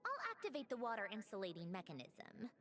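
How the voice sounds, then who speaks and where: An actress voicing a calm, wistful young woman in a fantasy game, speaking in a soft, reflective, measured way. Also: medium pitch, faint music behind. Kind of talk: game voice acting.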